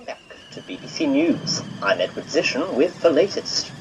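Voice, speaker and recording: A man's voice: on the high side, very animated, moderate pace, noisy background. A man playing a formal newsreader delivering a bulletin within an audio drama. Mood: formal, urgent. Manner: formal, reporting. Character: male radio newsreader reporting a crash, formal.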